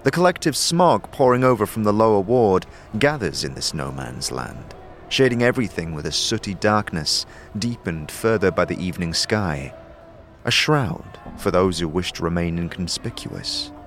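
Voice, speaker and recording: A man's voice: low voice, very animated, normal speed, soft background music. A man narrating a scripted fantasy audio drama in a theatrical, descriptive storytelling manner.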